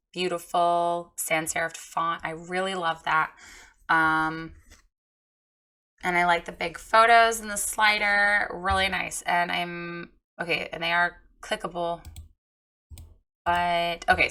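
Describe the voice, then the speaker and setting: A woman with a hoarse, froggy voice from a recently lost voice, frankly reviewing a photographer's website in a chatty, encouraging way. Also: on the low side, sharp tone. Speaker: website reviewer giving feedback. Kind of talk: casual screen-share critique.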